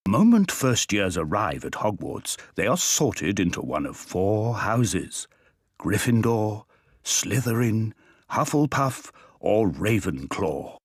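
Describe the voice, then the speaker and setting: A man playing the storytelling narrator of a wizard school video game, reading scripted narration in a husky voice. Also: fairly low pitch, sing-song, slow, no echo, studio quality, full character voice. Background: faint game music.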